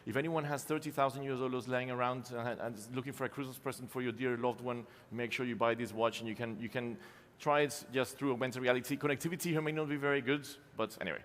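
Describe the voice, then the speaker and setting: Male presenter, forties, mid-pitched, loose and self-mocking, lots of ums, captured in a reverberant conference hall. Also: steady tone, quick pace, fairly bright tone. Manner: casual, self-deprecating, many ums and restarts. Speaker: conference speaker, company co-founder. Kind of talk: live conference keynote with slides.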